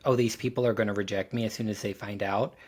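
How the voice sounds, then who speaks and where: A man's voice: on the low side, fairly even intonation, fast talker. A man on a podcast panel talking casually and reflectively, thoughtfully working his way through phrases.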